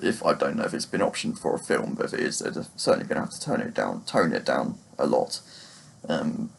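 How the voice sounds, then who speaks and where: A man giving a casual, unscripted book review, speaking in a halting, hesitant way with long pauses. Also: on the low side, vocal fry, husky. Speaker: book reviewer, video blogger.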